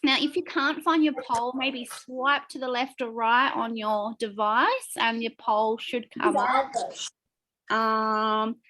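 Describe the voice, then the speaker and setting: A woman co-hosting an online class, upbeat and quick to laugh as she reads out poll results. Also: fairly high pitch, steady tone, sharp tone, poor audio. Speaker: co-host.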